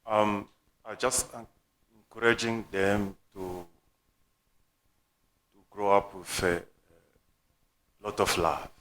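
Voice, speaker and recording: A man from the Democratic Republic of the Congo whose first language is French, a coach speaking as a panel guest in halting English with many pauses and fillers. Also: on the low side, fairly even intonation, a bit bright, slight background noise, rough recording. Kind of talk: panel discussion answers.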